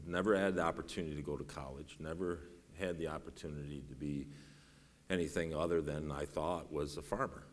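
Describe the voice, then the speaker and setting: A middle-aged man with a bass voice preaching a sermon in a folksy, self-deprecating way, rising to raised, urgent preaching. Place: church sanctuary. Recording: long reverb in a large hall.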